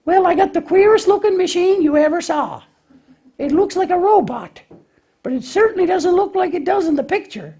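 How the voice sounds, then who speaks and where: Squeaky, naive little lamb puppet. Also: male voice, expressive, normal speed, creaky, warm tone, heavily acted. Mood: sheepish. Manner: hesitant, folksy, childlike explaining. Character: naive, eager, bumbling young lamb puppet. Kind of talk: children's puppet show dialogue.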